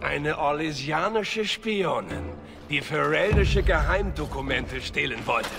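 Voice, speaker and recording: A man's voice: fairly high pitch, animated, takes it slowly, creaky, exaggerated voice. An actor playing a gloating, menacing male captor with a drawling delivery in a German fantasy game. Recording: loud music over the voice.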